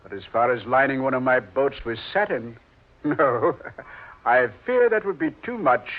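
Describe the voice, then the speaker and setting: The devil in an old radio horror show, sardonic n really slow, muffled old recording. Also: male voice, mid-range voice, sing-song. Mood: amused, commanding. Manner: slow, deliberate, sardonic, chuckling. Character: the Devil, suave, mocking male ferryman of souls.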